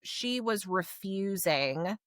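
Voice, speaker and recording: A woman's voice: medium pitch, expressive, slow, a bit bright, no echo, studio quality. A woman co-hosting a book podcast, explaining things in a casual, conversational way and animatedly paraphrasing characters. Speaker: podcast co-host reviewing books.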